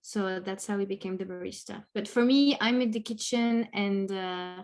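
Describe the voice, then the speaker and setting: A French woman, a cafe chef, chatting in English as an interview guest from her apartment, pausing to search for words. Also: medium pitch, a little mellow. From France. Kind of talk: casual interview chat.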